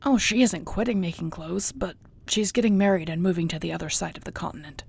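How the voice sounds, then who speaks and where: Woman doing soft husky orc gf, bit of fry in the voice, tender warrior type, audio roleplay. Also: fairly low pitch. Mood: warm, hopeful. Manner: soft, tender, unhurried, often near a whisper. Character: affectionate orc girlfriend, seasoned warrior, gentle and content. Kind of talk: intimate audio roleplay, spoken to the listener.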